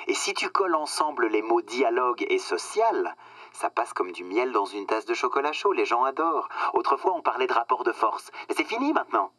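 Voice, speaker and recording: An actor playing a smug, cynical corporate boss in a satirical radio drama, slick and condescending, coaching with emphatic pauses. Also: male voice, mid-range voice, sing-song, bright, forceful tone, dry recording. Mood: smooth, condescending.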